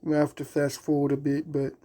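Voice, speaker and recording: A gameplay creator with a very dark, muted tone at a middling pitch. The delivery is casual and unscripted, muttered like asides to viewers. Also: monotone, fast. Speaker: video creator, gamer.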